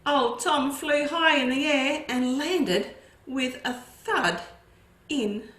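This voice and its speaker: Woman educator, unhurried storytime reading for kids, lively asides, roomy echo. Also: high voice. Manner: expressive, with asides to young listeners. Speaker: children's educator. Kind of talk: storytime read-aloud. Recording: echoey room.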